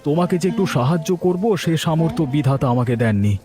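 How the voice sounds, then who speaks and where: A man acts a poor young fisherman in an animated village tale. He comes across as a loyal companion, earnest and outspoken. Light music sits behind the voice. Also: a little high, quick pace, close to an everyday voice. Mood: concerned, eager. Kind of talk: animated story dialogue.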